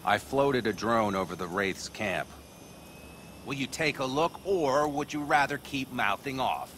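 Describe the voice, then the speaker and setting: A weary, no-nonsense nomad veteran from a video game. His mid-pitched voice is sharp and forceful, his delivery brusque and dry. Faint noise lies underneath. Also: expressive, a little slow. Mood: dry, impatient.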